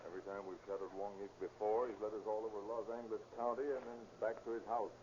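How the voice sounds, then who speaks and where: Blunt, low-voiced 1930s radio police detective man acting. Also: flat delivery, dark tone, lo-fi sound, natural voice. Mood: confident, explaining. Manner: brisk, blunt, slangy. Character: shrewd, hard-boiled male police detective with a short fuse. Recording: noisy, band-limited old 1930s radio recording.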